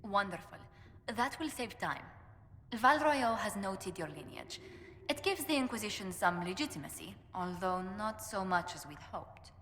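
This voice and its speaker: Casting: actress as courteous game ambassador, noblewoman, crisp bright tone, formal diction, dry wit, roomy echo. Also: medium pitch, lively intonation, normal speed, lightly acted. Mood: courteous, businesslike. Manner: formal, refined, diplomatic phrasing. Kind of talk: video game voice acting. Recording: echoey hall with long reverb.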